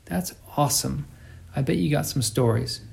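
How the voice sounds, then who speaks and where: A man's voice: a little high, moderate pace, vocal fry, darkish tone, pitched above the performer's own voice. A man reading as a chatty, nosy male cab driver with a sing-song voice. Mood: chummy. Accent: northern provinces sing-song. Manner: sing-song, gabby, slangy.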